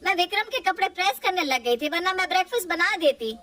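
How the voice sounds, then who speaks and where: A woman's voice: extremely high pitch, animated, quick pace, pushed and bright, far from the mic, pitched above the performer's own voice, heavily acted. A woman voicing a proud older mother-in-law who scolds her family and later admits she is worn out. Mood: placating.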